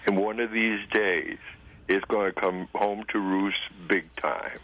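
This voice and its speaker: A man's voice: fairly low pitch, fairly even intonation, unhurried, a bit bright, lo-fi sound. A man calling in to a radio show, sharing earnest, firm opinions. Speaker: caller. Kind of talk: call-in radio chat.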